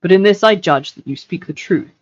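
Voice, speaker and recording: A woman's voice: on the low side, a little fast, dull audio, natural voice. A woman voicing a stern, noble lord in a storybook reading, formal and grave as he questions and passes judgment. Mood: solemn. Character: stern, just, noble lord of men, measured judge. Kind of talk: audiobook-style character reading.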